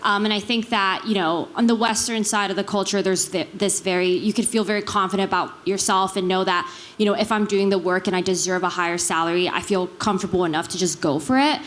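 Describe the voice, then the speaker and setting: Conversational woman, mid-pitched. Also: steady tone, fast talker, fairly bright tone. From Taiwan. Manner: conversational, frequent check-in tags like "right". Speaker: panelist, business executive. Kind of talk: casual panel discussion.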